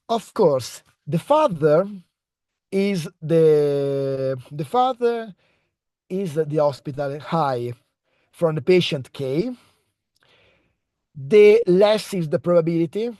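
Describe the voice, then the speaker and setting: A man's voice: fairly high pitch, mellow, dry recording, studio quality. Italian-accented man giving a research talk. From Italy. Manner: hesitant, many fillers and restarts. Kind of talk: online research presentation. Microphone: video call.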